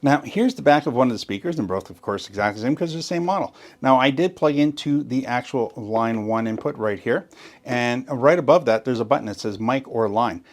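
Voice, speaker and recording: A man's voice: medium pitch, brisk. A man walking viewers through a sound system setup in a step-by-step tutorial, enthusiastic and explanatory, often stressing what is very important. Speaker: gear demonstrator. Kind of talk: tutorial walkthrough.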